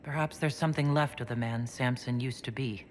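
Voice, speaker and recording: A composed heroic leader in a fantasy game , acted by a man. The male voice is mid-pitched, calm and direct. There is clear noise in the background. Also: a little fast, close to an everyday voice. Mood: thoughtful. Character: composed male hero leading a fantasy inquisition. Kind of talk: dramatic video game dialogue.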